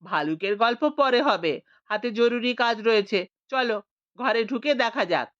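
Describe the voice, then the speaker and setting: A woman voicing a boastful retired male police inspector who talks in a booming, swaggering way, in a storybook reading. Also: animated, a little slow. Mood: irritated. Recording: dull lo-fi dry recording.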